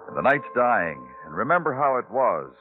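An American man playing a world-weary police detective in old radio crime drama, narrating poetically and questioning tersely in a brooding, deliberate manner. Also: mid-range voice, big pitch swings, lightly acted. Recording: tinny old radio broadcast, music behind.

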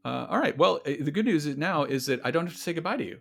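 A man with a flat Midwestern American accent, hosting a casual show and interviewing in a friendly, easygoing way. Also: fairly high pitch, racing, creaky, husky. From Iowa. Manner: friendly, easygoing, uses fillers. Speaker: podcast host.

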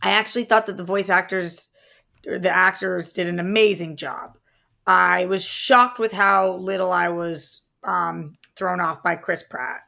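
A woman's voice: fairly low pitch, fairly even intonation, measured pace, creaky, sharp tone. A woman co-hosting a podcast in casual film discussion, speaking in an informal, direct and opinionated way. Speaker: podcast co-host.

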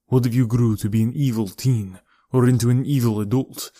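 A man playing a grave, weary, aging uncle in an audiobook, protective and regretful, with a subdued, measured delivery. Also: medium pitch, fairly even intonation, mellow, no room sound, natural voice. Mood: solemn, tender. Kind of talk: audiobook character dialogue.

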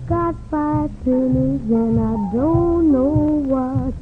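Female child blues singer, aged nine, high voice, unhurried phrasing, music behind her, vintage radio sound. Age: child, nine years old. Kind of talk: singing slow swing-era blues with accompaniment. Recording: dull band-limited old radio recording.

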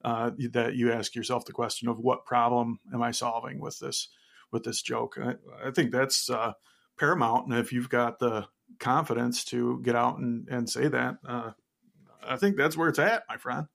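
Gravelly-voiced man praising a guest. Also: medium pitch, steady tone, moderate pace, fairly bright tone. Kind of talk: casual podcast interview.

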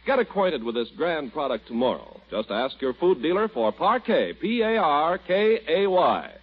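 A man announcing and narrating a 1940s radio comedy, smooth and emphatic. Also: on the high side, sing-song, normal speed. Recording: dull old radio recording.

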